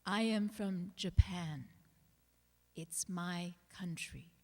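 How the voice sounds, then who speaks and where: A woman giving a dramatic literary reading aloud in measured, deliberate phrases. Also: on the low side. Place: large indoor hall at a live reading. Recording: echoey hall with long reverb.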